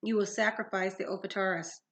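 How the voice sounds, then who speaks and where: A woman's voice: mid-range voice, steady tone, a little fast, a bit bright, close to an everyday voice. Woman voicing a pompous French manticore villain. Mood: smug. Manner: sneering, formal, pompous. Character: gloating, grandiose male manticore villain in human guise. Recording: dull lo-fi sound.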